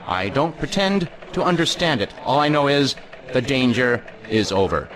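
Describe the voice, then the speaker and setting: An actor playing a self-important cartoon news anchor, delivering a mock broadcast in a pompous, dramatic manner. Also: male voice, medium pitch, expressive, normal speed, fairly bright tone, lightly acted. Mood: solemn, puzzled.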